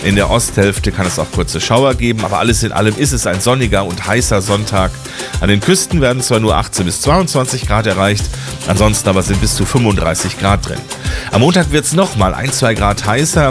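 A man reading news and weather from a script as a radio announcer. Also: lowish voice. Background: music.

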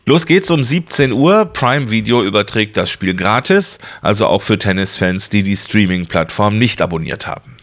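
A man's voice: on the low side, expressive, moderate pace, hardly any pauses, a bit bright. A man reading news and weather from a script as a radio announcer. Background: music.

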